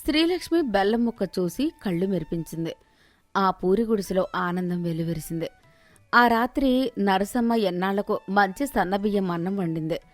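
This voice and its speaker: A woman's voice: medium pitch, animated, moderate pace, no room sound. A woman reading a scripted moral story as its narrator. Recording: music behind the voice.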